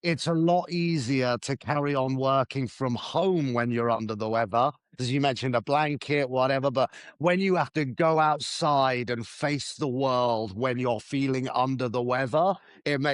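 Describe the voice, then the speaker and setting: A man's voice: mid-range voice, moderate pace, few pauses, no room sound, studio quality. A man co-hosting a casual podcast about teaching, chatty, often searching for words and laughing.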